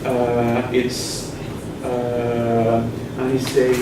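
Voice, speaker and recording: Brazilian man presenting a paper, flat halting delivery, distant noisy echoey hall. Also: medium pitch. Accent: Brazilian Portuguese. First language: Portuguese. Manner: halting, many pauses and fillers. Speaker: conference presenter. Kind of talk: academic conference talk. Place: conference session room. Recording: echoey room from far off, noisy.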